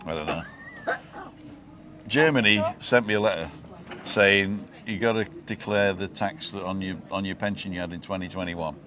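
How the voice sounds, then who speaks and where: A man in his sixties with a fairly low voice talks to camera like an old friend. His jokes are dry and teasing, and he tells stories at an easy ramble. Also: normal speed, a crowd in the background. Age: 60s. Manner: joking, teasing storyteller. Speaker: vlog host. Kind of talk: casual chat.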